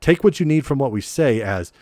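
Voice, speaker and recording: A man co-hosting a casual podcast, animated and self-deprecating, who restarts his sentences a lot. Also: medium pitch, a little fast, no room sound, clean studio sound. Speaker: podcast co-host and game master.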